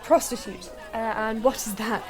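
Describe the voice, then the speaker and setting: A woman's voice: fairly high pitch, fairly even intonation, normal speed, a faint crowd, natural voice. An actress playing a sheltered, proper young Victorian lady with an upper-class English accent, formal and hesitant, in a period radio drama. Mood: affronted.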